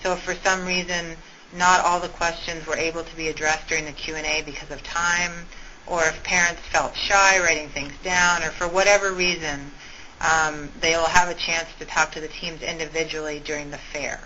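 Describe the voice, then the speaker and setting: Bright-voiced woman on a muffled conference line. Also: low-pitched, fairly even intonation, moderate pace. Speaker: school meetings organizer briefing a conference call. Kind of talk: informal explanatory briefing on a conference call. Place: conference call over telephone. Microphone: telephone. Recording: muffled conference phone line, faint noise.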